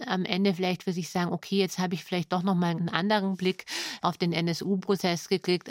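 A woman's voice: fairly low pitch, dry recording, clean studio sound. A woman who works on radio drama, giving a reflective interview statement in conversational German phrasing.

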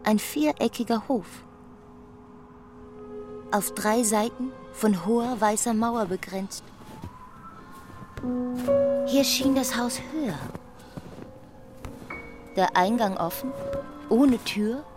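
A woman's voice: fairly high pitch, slow pace, pauses often, music in the background. A woman giving atmospheric literary narration in short, wondering phrases.

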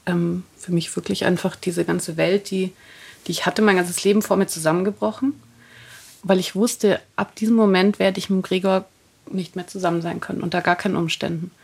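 A woman's voice: on the low side, steady tone, moderate pace, creaky, a little mellow, husky. A woman in her thirties, a podcast guest candidly telling her personal story. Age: 30s.

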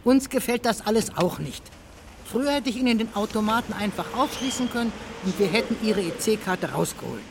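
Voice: medium pitch, lively intonation, fairly quick, vocal fry. A sympathetic but resigned transport worker in a naturalistic radio play. The delivery is matter-of-fact and a little grumbling, set in a noisy street scene with vehicles. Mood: resigned, curious. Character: resigned, sympathetic transport employee at a ticket machine.

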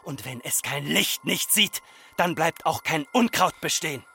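An embittered grown son from a farm. The voice is fairly high and tense, full of reproach, reciting in measured, repeating phrases like a literary radio play. A faint noise lies behind it. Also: male voice, expressive, vocal fry, bright, forceful tone, heavily acted. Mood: bitter. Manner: incantatory, reproachful, deliberate repetition. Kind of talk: literary radio drama acting.

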